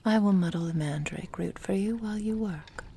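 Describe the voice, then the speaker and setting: A woman plays an ageless witch who dotes possessively on her apprentice in an ASMR roleplay. Her whispery voice is soft and dark, with a heavy creak underneath. She speaks tenderly and intimately, over faint background noise. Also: medium pitch, natural voice. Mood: gently encouraging. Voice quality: breathy, whispery. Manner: slow, tender, intimate delivery. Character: doting, possessive immortal witch mentoring her beloved apprentice.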